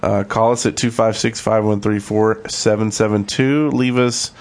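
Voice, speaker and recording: Lower-voiced man, casual podcast co-host. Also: fairly even intonation, moderate pace, a little mellow. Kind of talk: casual gaming podcast chat.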